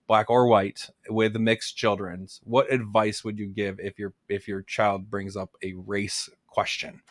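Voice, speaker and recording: Male co-host, relaxed, inquisitive. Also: on the low side, measured pace. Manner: teasing, then probing with questions. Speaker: talk show co-host. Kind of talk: casual chat.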